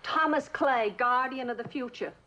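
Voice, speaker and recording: A high-voiced, wounded, neglected wife. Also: female voice, normal speed, sharp tone. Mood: hurt, bitter. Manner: plaintive, accusing. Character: neglected, bitter young wife, wounded and reproachful. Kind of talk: mid-century American TV drama acting. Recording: dull, faintly noisy old television soundtrack.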